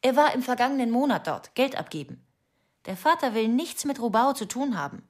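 An actress in a radio drama playing a suspicious, obsessive young wife giving testimony in terse, insistent fragments. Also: female voice, fairly high pitch, expressive, a little fast. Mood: insistent, tense. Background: faint music.

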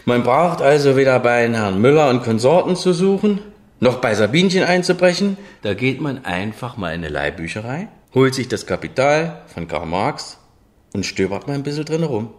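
An actor playing an opinionated economist in a radio drama, lecturing an interviewer in a dismissive, condescending manner. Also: male voice, mid-range voice, very animated, normal speed, lightly acted.

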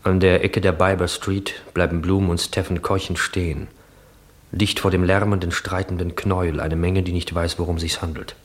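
An actor playing the grave narrator of a nightmarish radio play, reading dramatic scripted stage scenes. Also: male voice, sits low, level tone, moderate pace, natural voice. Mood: grave, portentous.

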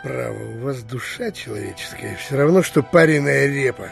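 An actor playing a bearish, crafty, stubborn landowner who grumbles and wheedles in an audio drama. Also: male voice, mid-range voice, normal speed, creaky, soft background music. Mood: grumbling, resigned. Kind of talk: theatrical audio drama acting.

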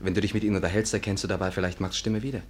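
An actor playing a practical, alert detective companion, brisk and plain-spoken, in a 1950s German radio play. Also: male voice, low voice, little pitch movement. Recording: noisy, crackly 1950s radio recording.

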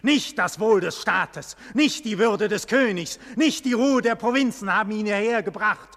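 A man's voice: high-pitched, moderate pace, fairly bright tone. An actor playing a proud, defiant condemned nobleman, indignant and bitter, declaiming in formal theatrical style in a classic German radio play. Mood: bitter, accusing. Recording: long reverberant hall, radio drama production.

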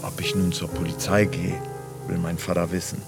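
An actor playing a sober, probing grown son who speaks and narrates matter-of-factly in a radio play. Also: male voice, sits low, completely flat delivery, moderate pace, vocal fry, music in the background. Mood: sober, grim. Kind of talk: radio play dialogue and first-person narration.

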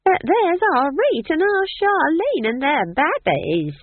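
A woman's voice: sits high, wildly swooping pitch, takes it slowly, no room sound, narrow, tinny audio, pitched above the performer's own voice, heavily acted. A woman voicing a jolly, boisterous old witch in an audiobook, exuberant and doting as she calls out. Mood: excited calling. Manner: exuberant, cooing, calling out.

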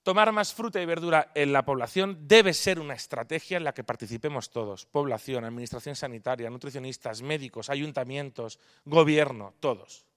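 A man from Spain gives a nutrition lecture to a live audience, pressing his points with rhetorical questions. His voice sits at an ordinary middle pitch for a man. He answers questions in a more relaxed, chatty way. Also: brisk, few pauses. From Spain (Palma de Mallorca). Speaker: nutrition speaker at a public event.

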